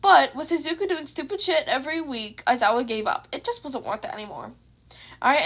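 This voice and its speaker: Young woman w a high voice doing a podfic, casual and expressive, kinda informal. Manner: informal, sweary. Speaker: fan fiction writer and narrator. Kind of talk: expressive story narration and casual outro.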